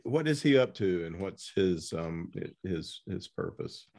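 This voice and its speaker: A man with a fairly low voice hosts a casual interview. He feels his way into questions, stopping and rewording them as he talks in a conversational style. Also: animated, a little mellow. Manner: halting, rephrasing questions mid-sentence. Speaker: podcast host interviewing an author.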